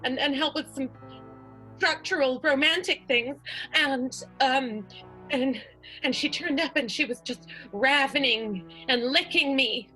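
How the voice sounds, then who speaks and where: Very high, bright, flighty wife, a woman acting. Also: rough recording. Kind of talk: improvised 1920s radio soap opera acting.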